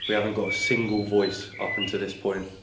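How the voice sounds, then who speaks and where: A man's voice: lowish voice, little pitch movement, a little fast. A man hosting a paranormal investigation vlog, talking casually as he explores. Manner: addresses viewers and calls out questions to spirits. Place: old stone tower and muddy surroundings. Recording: noisy handheld camera audio with rustling.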